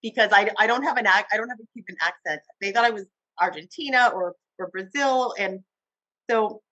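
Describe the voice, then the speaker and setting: A woman television host recounts her career in an interview, speaking with enthusiasm and animated swings in tone. The sound is dry and close to the mic, with no room around it. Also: mid-range voice, a little fast, dry recording, dull audio. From Cuba. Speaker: TV host guest. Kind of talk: interview conversation.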